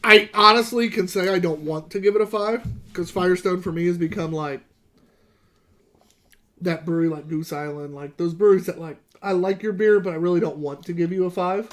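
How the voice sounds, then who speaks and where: Fast-talking high-voiced man. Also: fairly even intonation, a bit bright. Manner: enthusiastic, talks fast with many fillers. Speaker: podcast co-host reviewing beer. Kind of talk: casual chat.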